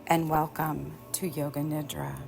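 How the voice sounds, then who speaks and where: A woman teaching yoga nidra, guiding relaxation in a gentle, soothing way with long pauses. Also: low-pitched, level tone, creaky. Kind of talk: guided relaxation meditation. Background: soft background music.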